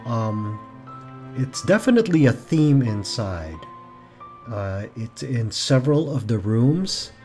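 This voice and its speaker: Relaxed man vlogging outdoors. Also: mid-range voice. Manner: relaxed, rambling, many ums and uhs. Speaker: travel vlogger. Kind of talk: casual winery travel vlog. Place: outdoor winery patio.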